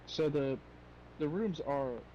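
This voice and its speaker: A man co-hosting a reaction podcast, chatting casually and thinking out loud in a tentative, musing way. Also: fairly high pitch, slow, lo-fi sound, slight background noise.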